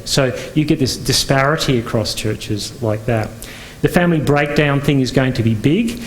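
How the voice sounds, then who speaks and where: Halting Northern Irish-raised man lecturing. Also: medium pitch, a little hiss or hum, low-quality recording. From Northern Ireland. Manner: conversational, halting with frequent fillers and restarts. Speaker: conference speaker and pastor. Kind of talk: conference talk with slides. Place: conference venue.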